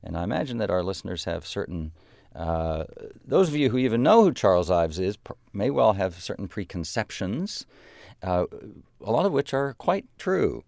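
A man's voice: fairly low pitch, sing-song, moderate pace, vocal fry, darkish tone, dry recording, clean studio sound. A man hosting a radio interview, asking conversational questions with some hesitations. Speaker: radio host.

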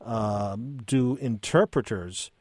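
A man's voice: fairly low pitch, takes it slowly, darkish tone, no echo, clean studio sound. A man hosting a radio talk show who asks curious questions and stumbles a little over his words. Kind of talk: radio interview.

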